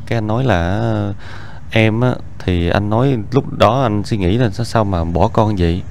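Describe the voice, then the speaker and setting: A man's voice: on the low side, moderate pace, gravelly, a little mellow. Southern Vietnamese man over noisy street sound. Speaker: interviewer, host of charity visit videos. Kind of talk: casual, probing street interview chat. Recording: noisy outdoor recording with traffic.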